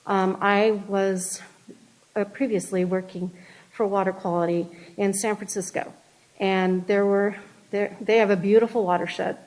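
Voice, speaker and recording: A woman's voice: mid-range voice, a little mellow, low-quality recording. A woman speaking during public comment at a city meeting, hesitant and full of fillers and restarts.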